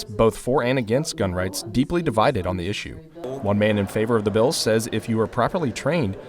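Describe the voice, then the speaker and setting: A man's voice: lowish voice, noisy background. A man in his twenties with an American accent, delivering scripted reports as a television news reporter.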